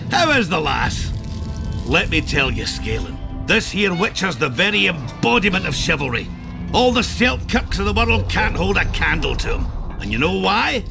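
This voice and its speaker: An actor playing a crude, blustering, hearty dwarf warrior telling tales in a video game. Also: male voice, sits high, sing-song, normal speed, full character voice. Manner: gruff, colourful, blustering. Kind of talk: video game character acting. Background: music.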